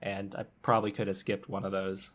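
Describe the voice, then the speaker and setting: A man with a fairly low voice chats on a podcast panel. He tells casual personal stories, pausing often with ums as he goes. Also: monotone, a bit bright.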